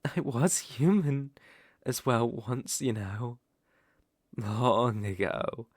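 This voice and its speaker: A man's voice: medium pitch, very slow, husky, dry recording, clean studio sound. A British man playing a suave, possessive vampire count in an intimate ASMR roleplay, teasing and commanding in theatrical, old-fashioned phrasing. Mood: brooding, sinister.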